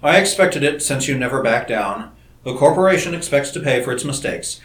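A man voicing a blunt, businesslike middle-aged company boss in a measured manner. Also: mid-range voice, animated, fairly bright tone, lightly acted. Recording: roomy echo.

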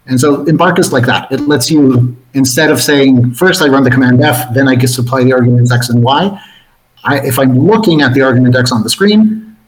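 A man from Mexico, a software developer guest on a live call, patiently explaining how a tool works with frequent restarts. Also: medium pitch, a little fast, rough recording. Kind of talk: casual technical explanation.

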